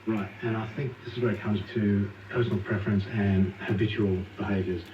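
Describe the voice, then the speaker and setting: A man's voice: fairly low pitch, steady tone, fairly quick, slight background noise. A man explaining things conversationally as an expert guest in a radio interview, heard muffled and distant through radio playback.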